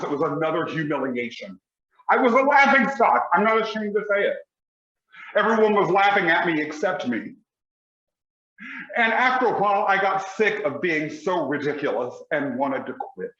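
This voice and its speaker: A man, giving a motivational speech with emphatic, deliberate pauses. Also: fairly high pitch, fairly bright tone, echoey room, highs cut off. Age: 40s. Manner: emphatic, slow deliberate delivery with dramatic pauses. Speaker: motivational speaker.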